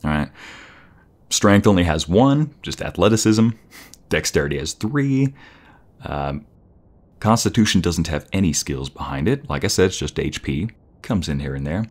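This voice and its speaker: Very deep-voiced man, playful boyfriend roleplay. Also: expressive, moderate pace, long pauses.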